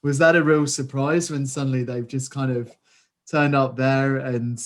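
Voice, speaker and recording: A man's voice: medium pitch, steady tone, measured pace. Enthusiastic male interviewer on a video call. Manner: enthusiastic, laughs often, stumbling restarts in questions. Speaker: interviewer host.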